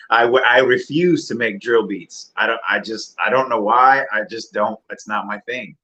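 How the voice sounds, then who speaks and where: A man, a music producer and rapper, talking casually and reflectively as an interview guest in a deeper mid-range voice. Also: measured pace. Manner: relaxed, reflective, many fillers.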